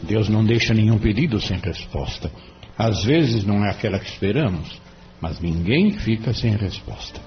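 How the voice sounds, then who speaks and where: Lowish lively voice, actor as benevolent male spirit mentor, Brazilian, inquisitive, audio drama with music behind. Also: moderate pace, natural voice. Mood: fond, assured. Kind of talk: audio drama acting in Portuguese. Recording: dull lo-fi audio.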